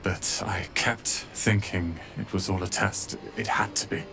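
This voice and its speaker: A young man playing an earnest, resolute wizard's apprentice with a wounded past in a dramatic video game, speaking in a measured, emotional way. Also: deep voice, fairly even intonation, creaky, over music. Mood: bitter, wounded.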